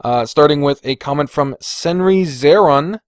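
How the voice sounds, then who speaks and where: A man's voice: a little high, unhurried, no echo, clean studio sound. A man hosting a podcast, chatting informally and swearing freely as he reads and reacts to comments. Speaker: podcast host. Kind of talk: casual chat, reading and reacting to viewer comments.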